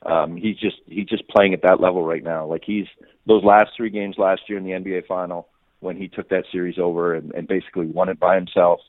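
A man's voice: sits low, flat delivery, fairly quick, no echo. A man giving off-the-cuff sports analysis as a guest reporter, often repeating words and restarting his sentences. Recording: muffled phone-like radio line.

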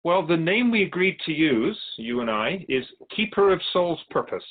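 Man acting courteous otherworldly keeper of souls, animated pitch. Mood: patient, explaining. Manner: measured, formal phrasing with gentle questions. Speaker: guest on a radio show. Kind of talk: radio drama dialogue. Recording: thin phone-like band-limited audio.

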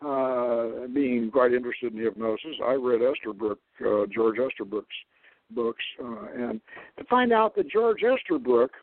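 A man's voice: mid-range voice, animated, measured pace, gravelly, no room sound. Male radio host on a tinny line. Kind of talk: talk radio lecture, partly read aloud.

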